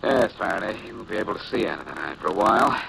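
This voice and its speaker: An actor playing a confident, wisecracking amateur detective who explains things breezily, in 1940s radio drama style. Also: male voice, deep voice, lively intonation, racing, vocal fry, full character voice. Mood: matter-of-fact explaining. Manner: breezy, self-assured explaining. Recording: noisy vintage 1940s radio recording.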